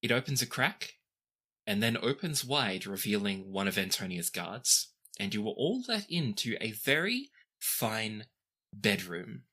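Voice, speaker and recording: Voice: mid-range voice, big pitch swings, unhurried, bright, forceful tone, slightly rough. A game master narrating a tabletop fantasy game in a conversational, descriptive way, with casual asides.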